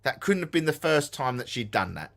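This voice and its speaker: A man's voice: medium pitch, a little fast. Emphatic Essex man on a live stream. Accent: Essex English. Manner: animated, emphatic, repeats himself for effect. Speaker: co-host of a ghost hunting live stream. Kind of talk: casual live-stream chat.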